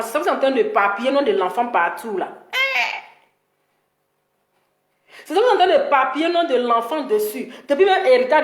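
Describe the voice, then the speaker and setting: A woman with a high voice and an Ivorian accent speaks as the host of a live stream. She gives opinionated commentary in an emphatic, scolding way, hammering points by repeating them. The sound is roomy and echoing. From Ivory Coast. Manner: animated scolding, emphatic repetition.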